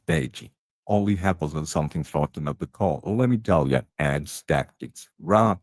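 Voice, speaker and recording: A man's voice: deep voice, a little mellow, no room sound. A man playing a gossiping canteen tea lady, chatty and blunt with plenty of slang.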